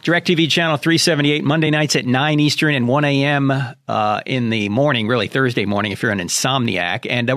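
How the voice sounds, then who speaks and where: Animated, high-pitched American man hosting radio. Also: dry recording, clean studio sound. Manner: emphatic, preacherly, addresses listeners directly. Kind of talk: radio monologue with rhetorical questions.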